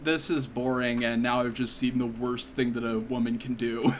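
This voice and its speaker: A man's voice: medium pitch, level tone, moderate pace. A man co-hosting a podcast, chatting and joking in a rambling way with lots of filler words. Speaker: podcast co-host. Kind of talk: casual comedic chat. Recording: faint room noise, fans in background.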